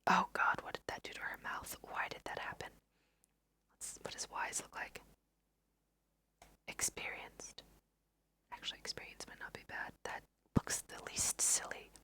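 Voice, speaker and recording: Whispering woman, breathy and unhurried. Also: pushed and bright. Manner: chatty, self-amused, thinking aloud. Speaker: host of a gaming let's play. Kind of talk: ASMR whispered casual game commentary.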